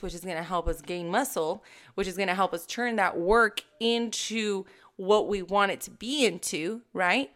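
A woman in her thirties, a fitness coach hosting her own podcast, talking to listeners in a casual, emphatic and animated way. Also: medium pitch. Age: 30s. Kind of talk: casual solo podcast talk.

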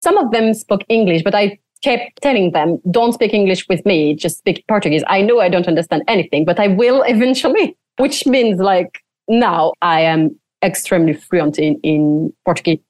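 Young French woman, animated interview guest, dry close sound, filler-heavy talk. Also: mid-range voice, moderate pace, no room sound. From France. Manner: animated, frequent "like" fillers, laughs often. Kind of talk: casual interview chat.

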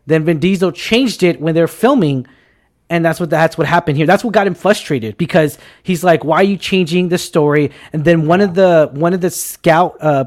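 Excitable, higher-voiced man in his twenties. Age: 20s. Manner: excitable, rambling, repeats himself, slangy with "dude" and "man". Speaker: show host. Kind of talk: casual panel chat on film news.

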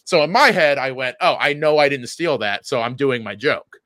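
Male podcast co-host, casual chat, lively swooping intonation, bright tone. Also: mid-range voice, normal speed, no room sound.

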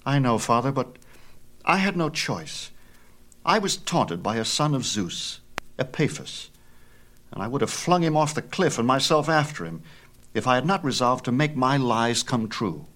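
A man's voice: medium pitch, big pitch swings, normal speed, fairly bright tone, pitched above the performer's own voice. A man voicing a proud, rash young son of a god in a myth tale, earnest, formal and insistent. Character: proud, rash young demigod son, respectful but stubborn. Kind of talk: dramatized myth storytelling.

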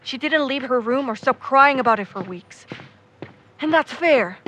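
A woman's voice: sits high, expressive, measured pace, a bit bright, a little hiss or hum. An actress playing a devout, defensive young woman in an audio drama, earnest, frustrated and sweary. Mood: frustrated, grieving. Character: devout, defensive young woman, grieving her missing friend. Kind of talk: naturalistic audio drama acting.

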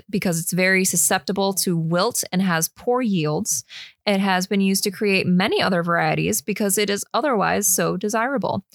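A woman's voice: mid-range voice, fairly bright tone, no echo, clean studio sound. A woman co-hosting a casual beer podcast, reading out her research notes with easy asides.

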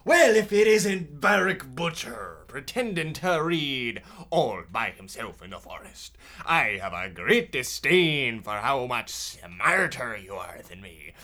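A man's voice: medium pitch, sing-song, slow pace, pushed and bright, full character voice. A man playing a pompous, resentful twelve-year-old bully in a stagy parody of an old radio drama. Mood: menacing, scornful.

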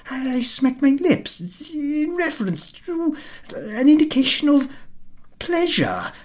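A man voicing Ahiniv, a nervous middle-aged host who frets about gossip, in breathy anxious whispers that squeak up high when upset. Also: wildly swooping pitch, slow pace, exaggerated voice. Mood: flustered.

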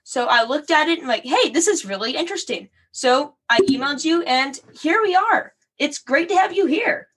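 A woman's voice: high voice, expressive, normal speed, few pauses, a bit bright, recorded from a distance. A woman hosting an interview, upbeat and chatty, using fillers like "like" and "um". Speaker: interview host. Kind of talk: casual interview.

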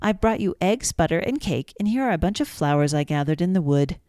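A woman's voice: lowish voice, expressive, a little fast, warm tone, no echo, close to an everyday voice. Woman doing an innocent little storybook girl, polite and trusting, dry close sound.

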